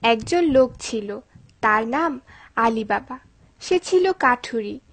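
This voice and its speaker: High-voiced woman narrating a children's story. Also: animated, slow pace, slightly rough.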